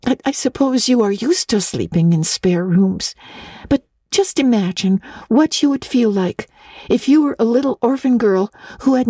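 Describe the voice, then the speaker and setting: An earnest little orphan girl from a storybook, played by a woman, pitched very high and husky-edged. She pleads politely and eagerly, tripping over her words in a breathless, imaginative flow. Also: dark tone, no echo, studio quality, close to an everyday voice. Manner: breathless, stammering, talkative, earnest.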